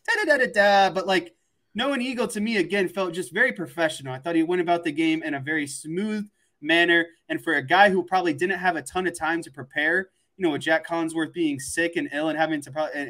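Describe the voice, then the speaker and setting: A man's voice: fairly high pitch, a bit bright. A man co-hosting a sports talk show, giving his opinions in a rambling, conversational way. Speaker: sports podcast co-host. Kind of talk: casual sports commentary chat.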